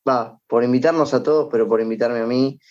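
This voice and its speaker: A man's voice: medium pitch, brisk, darkish tone. A man from Argentina, a podcast guest speaking in a friendly, casual, thankful way. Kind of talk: casual chat. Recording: dull lo-fi remote line.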